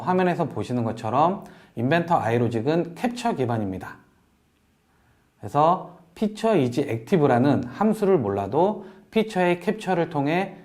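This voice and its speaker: A man presenting a software tutorial in a polite, explanatory way, walking through each step as an instructor. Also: a little high. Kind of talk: software tutorial narration over screen demo.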